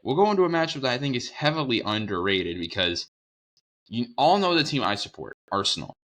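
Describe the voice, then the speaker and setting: Hoarse young man with a bright voice. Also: mid-range voice, sing-song, brisk. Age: young adult. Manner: rambling, self-correcting, upbeat. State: hoarse with a cold. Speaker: sports show host. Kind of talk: casual sports preview talk.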